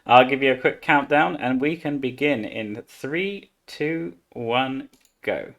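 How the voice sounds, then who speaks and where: A man's voice: medium pitch, slow pace, a bit bright. A man giving casual live commentary as he speedruns a video game. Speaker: speedrunner playing live at a gaming marathon. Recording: game music behind the voice.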